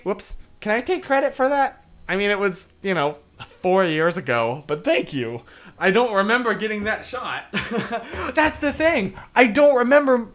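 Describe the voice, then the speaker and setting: A hoarse young man hosting a livestream, chatting casually and rambling off topic with easy laughs. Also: high-pitched, a bit bright. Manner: rambling, loses his train of thought; laughs easily. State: hoarse, voice worn out. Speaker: livestream host. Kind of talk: casual livestream chat, answering comments.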